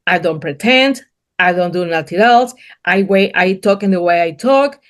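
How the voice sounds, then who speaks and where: A woman's voice: lowish voice, animated, normal speed. A middle-aged woman from Colombia, speaking English with a thick Colombian accent as a non-native speaker. She is a business owner being interviewed, and she talks earnestly in broken English, often repeating words. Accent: Colombian Spanish. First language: Spanish. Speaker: guest, painting business owner. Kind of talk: casual interview conversation.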